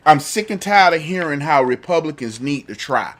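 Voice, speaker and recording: A man's voice: a little high, expressive, unhurried, bright, forceful tone. A man hosting a political talk show with a lingering cough, repeating himself as his commentary builds into heated indignation. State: fighting a cough.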